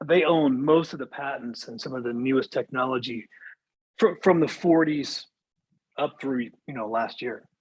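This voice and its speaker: A man's voice: medium pitch, moderate pace, a little hiss or hum, low-quality recording. A man casually explaining things on a video call, with hesitant restarts.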